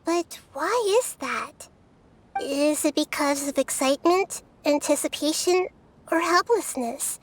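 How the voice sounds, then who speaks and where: A woman voicing a gentle, wise, childlike girl deity in an anime game, speaking thoughtfully and earnestly. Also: high-pitched, animated, slow pace, slight background noise. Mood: musing. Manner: soft-spoken, thoughtful, earnest.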